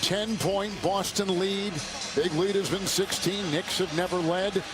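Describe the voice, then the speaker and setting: Guy doing nba style play by play, voice pitched high, clipped game calls. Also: fairly even intonation, measured pace, over music. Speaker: play-by-play announcer. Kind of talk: live basketball play-by-play commentary. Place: courtside at an arena.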